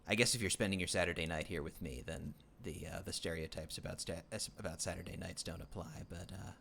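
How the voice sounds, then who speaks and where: Man, very low voice, streamer host, casual rambling chat, lots of ums and restarts, dry self-deprecating. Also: creaky, fairly bright tone, slight background noise. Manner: rambling, halting, many fillers and restarts. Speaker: livestream host.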